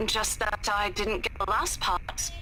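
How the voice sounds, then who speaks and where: A woman's voice: medium pitch, fairly even intonation, measured pace, sharp tone, a little hiss or hum. An actress playing a chipper, polite woman on a phone call in a visual novel. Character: chipper, polite woman caller in horror game.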